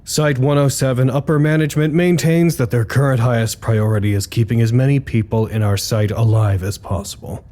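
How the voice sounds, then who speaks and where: An actor playing a male research site doctor who gives formal, measured official reports in an audio drama. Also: medium pitch, fairly even intonation, warm tone, lightly acted. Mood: formal, matter-of-fact. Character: weary male doctor, foundation communications head, dutiful and halting.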